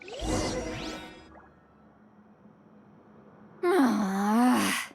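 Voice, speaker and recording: Huffy high-pitched girlish granny shaman. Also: huge pitch swings, extremely slow, vocal fry, music in the background, exaggerated voice. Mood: impatient. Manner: indignant, self-important, dramatic. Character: huffy young-sounding self-styled granny shaman, proud and impatient. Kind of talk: video game voice acting.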